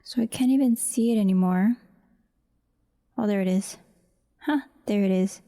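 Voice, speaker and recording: A woman giving casual behind-the-scenes commentary as she edits, halting and muttering to herself. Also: mid-range voice, warm tone. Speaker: voice actor, audio creator.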